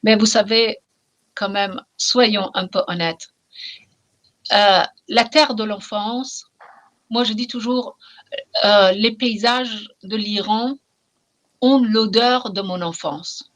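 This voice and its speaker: A woman's voice: mid-range voice, fairly bright tone. A middle-aged woman from Iran, speaking French with a Persian accent, talking passionately and reflectively as a novelist in an interview, often repeating phrases for emphasis. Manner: passionate, emphatic, with repetitions and pauses.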